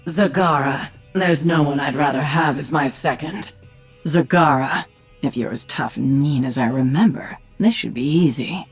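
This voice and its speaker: A woman playing a tough, commanding, sardonic warrior queen of an alien swarm in a video game, with a husky voice. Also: deep voice, moderate pace, faint music behind. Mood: stern, commanding. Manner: commanding, dry sarcasm. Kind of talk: video game character acting.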